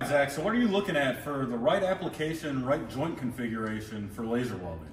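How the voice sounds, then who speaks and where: A man's voice: medium pitch, animated, brisk, slight background noise. A welder in a workshop, a man giving plain, practical explanations of his settings and technique. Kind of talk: instructional shop talk. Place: indoor welding workshop.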